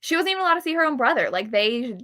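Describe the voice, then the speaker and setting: A woman co-hosting a live book discussion, casual and agreeable, often answering in quick short replies. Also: sits high, sing-song, pushed and bright. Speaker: book club co-host.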